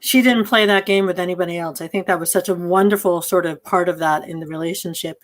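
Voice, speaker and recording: A woman's voice: on the low side, a bit bright. A woman hosting an informal interview on a video call as a fellow theatre director.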